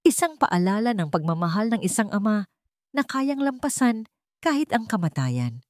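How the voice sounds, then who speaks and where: A woman narrating a scripted story in a measured, earnest and formal way. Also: medium pitch, very animated, dry recording, studio quality.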